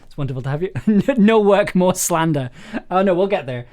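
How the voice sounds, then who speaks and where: A man's voice: sits high, lively intonation, fairly quick, darkish tone. A man hosting a casual live stream about making audio drama, joking at his own expense and laughing readily. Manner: jokey, self-deprecating, prone to laughing. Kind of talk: casual livestream chat.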